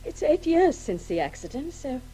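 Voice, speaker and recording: A woman's voice: high-pitched, very animated, normal speed, dark tone, a little hiss or hum. An actress playing a matter-of-fact, controlled middle-aged English wife who corrects her husband in a radio drama. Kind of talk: 1980s radio play acting.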